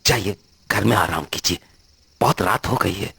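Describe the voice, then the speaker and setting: A man's voice: deep voice, normal speed, gravelly, bright, forceful tone, husky, dry recording, full character voice. A man voicing a concerned, deferential household caretaker with respectful, rustic phrasing in an audio horror drama.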